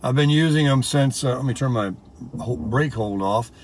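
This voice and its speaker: A man's voice: mid-range voice, moderate pace, a little mellow. An American man vlogging casually, explaining things in a rambling, conversational way. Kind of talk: casual chat to camera. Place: in a car and outdoors in a parking lot. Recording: clear car and outdoor background noise.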